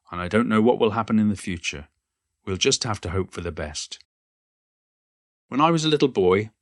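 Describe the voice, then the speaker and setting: A man's voice: fairly low pitch, a bit bright, no room sound, clean studio sound. A man playing an elderly poet and former schoolteacher, reminiscing in a modest, wry and reflective monologue. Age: older. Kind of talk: reflective first-person monologue, read from a book.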